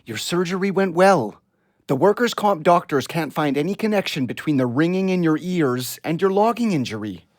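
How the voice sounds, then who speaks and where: Actor as a lively, firm doctor. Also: male voice, a little high, no room sound, clean studio sound, lightly acted. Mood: firm, helpful. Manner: instructive, brisk, firm. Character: harried, caring older family doctor, a father. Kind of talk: audio play acting.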